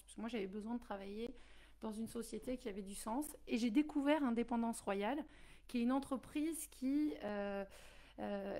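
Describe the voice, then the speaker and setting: A French-born woman, a company director, answering interview questions in a steady, earnest way with frequent fillers. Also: a little high. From France.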